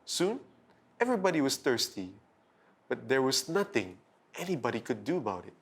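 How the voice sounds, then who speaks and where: Expressive male children's storyteller, lively pitch. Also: moderate pace, breathy edge. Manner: expressive, dramatic storytelling delivery. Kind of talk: scripted bedtime story narration for children.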